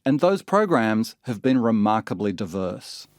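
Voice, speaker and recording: A man's voice: on the low side, big pitch swings, measured pace, dry recording, studio quality. A man hosting and reading scripted documentary narration. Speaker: podcast host, narrator.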